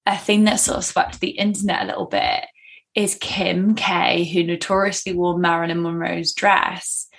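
A young woman co-hosting a podcast in casual chat, with a husky, tired voice, laughing often. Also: lowish voice, normal speed, fairly bright tone. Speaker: podcast co-host.

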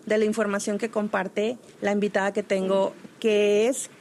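A woman hosting a podcast, introducing her guest in an admiring, somewhat halting way, often restarting her phrases. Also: medium pitch, little pitch movement, darkish tone, slight background noise. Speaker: podcast host.

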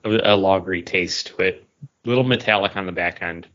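A man's voice: low voice, fairly quick, fairly bright tone. A man chatting as a podcast co-host over an internet call, describing things in a hesitant, meandering way. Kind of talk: casual podcast chat. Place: remote voice call. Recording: dull lo-fi internet call audio.

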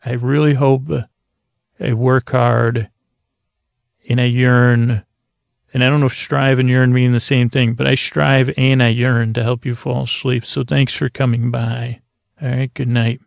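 A man with a heavily creaky, gravelly voice hosts a sleep podcast in a dry, echo-free recording. His tone is lulling and soothing. He meanders through halting sentences, doubling back and leaving thoughts unfinished. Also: mid-range voice, flat delivery. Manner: meandering, halting, repeats and trails off mid-sentence. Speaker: podcast host. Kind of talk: rambling bedtime monologue to help listeners sleep.

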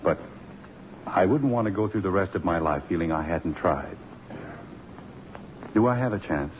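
An earnest, wistful middle-aged academic, low in pitch with expressive rises and falls. He speaks in gentlemanly 1950s radio theater manner on a muffled old recording. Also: moderate pace, a little hiss or hum. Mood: tender, hopeful.

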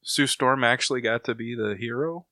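A man's voice: mid-range voice, moderate pace, pushed and bright, no room sound, clean studio sound. A man co-hosting a podcast, chatting casually and conversationally. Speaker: podcast co-host. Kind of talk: casual chat.

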